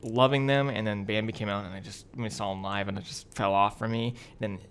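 A man's voice: lowish voice, steady tone, a little fast, smooth. A young man co-hosting a podcast, talking casually with slangy, opinionated remarks. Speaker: podcast co-host. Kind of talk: casual chat.

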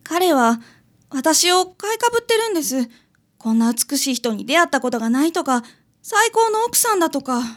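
A woman's voice: sits high, sing-song, normal speed. A woman playing a modest, insecure young newlywed wife in a radio drama, earnest, loving and self-effacing. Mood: worried.

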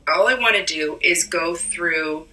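A hoarse woman with a cold co-hosts a casual podcast, explaining at length with plenty of ums. Her low voice is very bright and edgy. She sits a little back from the mic in an echoey room. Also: measured pace, distant mic. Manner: rambling, explanatory, frequent ums. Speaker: podcast co-host. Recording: roomy echo, a bit off-mic.

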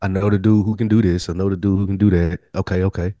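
A man with a fairly low voice chats on a podcast. His wording is loose and slangy. The recording is dry, free of room echo. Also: steady tone, quick pace, dark tone. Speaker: podcast co-host. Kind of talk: casual TV discussion.

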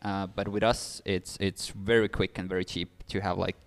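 A man's voice: low voice, little pitch movement, normal speed. A young man from Estonia, founder of a startup and speaking as an English speaker, explaining ideas eagerly with many fillers and restarts. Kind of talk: interview guest.

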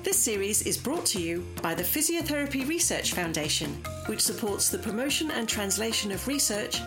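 A woman's voice: medium pitch, big pitch swings, moderate pace. A woman reading a polished, scripted podcast introduction as announcer. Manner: polished promotional read. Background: music.

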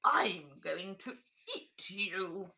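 Storybook villain: woman plays snarling hungry beast, menacing, very slow, forceful threats. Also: on the low side, creaky, a little hiss or hum, heavily acted. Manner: slow, drawn-out threatening delivery.

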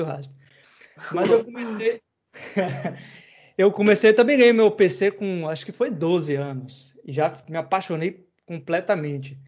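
A man talks in a relaxed, rambling way, full of slang, the way a podcast host chats. His voice sits a little high. The recording sounds dull and lo-fi. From Bahia, Brazil. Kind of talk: casual chat.

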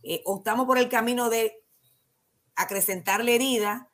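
Calm Dominican woman explaining patiently. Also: a little high, moderate pace. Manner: calm, teacherly, answers her own questions. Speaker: guest counselor. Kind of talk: explanatory talk-show conversation.

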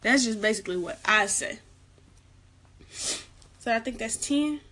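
A young woman gives casual advice in a chatty vlog. She is soft-spoken, has a light, girlish voice and keeps repeating her warnings. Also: on the high side, expressive, a little slow. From Arkansas, United States. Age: late teens. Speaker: vlogger giving school advice.

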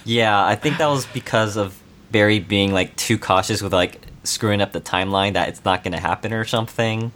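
A male podcast co-host with a fairly low voice. He talks in a casual, laid-back, conversational way. Faint ambient noise can be heard in the recording. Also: a little fast, a bit bright. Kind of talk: casual chat.

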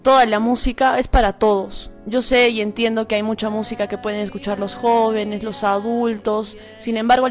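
A woman's voice: on the high side, dull audio. A woman with a Peruvian accent speaking as an interview guest, a singer-songwriter talking casually. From Peru.

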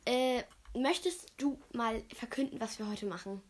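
A woman's voice: on the high side, measured pace, a little hiss or hum. A woman hosting a casual podcast, cheerful as she reads facts out in a list. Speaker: podcast host.

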